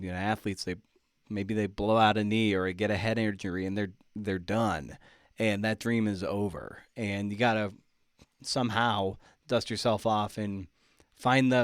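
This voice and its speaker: A man's voice: on the low side, clear, resonant tone, no echo, clean studio sound. A man hosting a podcast, interviewing in a conversational, colloquial and slightly rambling way.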